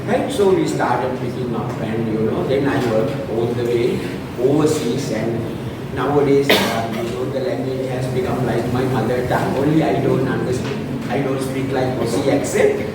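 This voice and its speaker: A man's voice: medium pitch, fairly even intonation, normal speed. A middle-aged Sri Lankan man gives a casual technical talk to engineers, tagging his points with "right?". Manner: informal, frequent "right?" tag questions. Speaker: guest lecturer, principal engineer. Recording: echoey hall, far from the mic, noisy.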